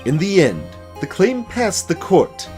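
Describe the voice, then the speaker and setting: A man voicing a haunted, solemn game character confessing a dark memory, grave, halting and dramatic. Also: high voice, big pitch swings, faint music behind, pitched above the performer's own voice. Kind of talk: dramatic voiced reading of game dialogue.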